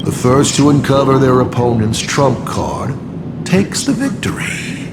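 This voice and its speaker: A man voicing a scheming artist villain in a video game, with a grandiose, measured, taunting delivery. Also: medium pitch, expressive, heavy background noise, close to an everyday voice. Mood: smug, theatrical. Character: theatrical scheming male artist villain playing games of secrets. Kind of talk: dramatic video game acting. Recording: loud music behind. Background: loud music.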